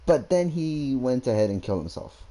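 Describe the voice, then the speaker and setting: A man giving casual gameplay commentary, thinking aloud in a halting way. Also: medium pitch, big pitch swings, warm tone. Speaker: gamer.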